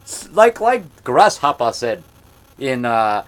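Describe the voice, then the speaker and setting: A man in his fifties telling a rambling story in a loud voice, repeating himself and getting worked up as he goes. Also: mid-range voice, very animated, takes it slowly. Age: 50s. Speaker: monster movie maker telling a story. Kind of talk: casual storytelling monologue.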